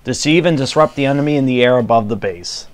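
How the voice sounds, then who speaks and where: A man's voice: medium pitch, fast. A man giving light, casual gameplay commentary in hesitant, muttered asides. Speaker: gamer commentating a blind playthrough.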